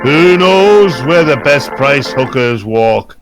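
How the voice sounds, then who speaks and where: A man's voice: mid-range voice, expressive, slow pace, over loud music. A man in casual comedic banter as a panel heckler, blunt and sarcastic.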